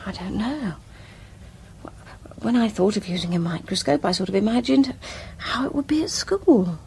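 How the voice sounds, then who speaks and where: An actress with a British accent playing a determined, curious woman with a brisk, coaxing manner in a radio drama. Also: medium pitch, expressive, vocal fry, darkish tone, breathy edge, full character voice. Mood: hesitant. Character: determined, curious, bossy amateur sleuth woman named Jen.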